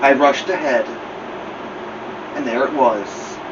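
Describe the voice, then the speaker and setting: A male storytime host reads a picture book aloud in an expressive, deliberate way, leaning on key words. He sits slightly away from the microphone. The sound is dull, with plain background noise. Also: mid-range voice. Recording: muffled, clear background noise, slightly distant.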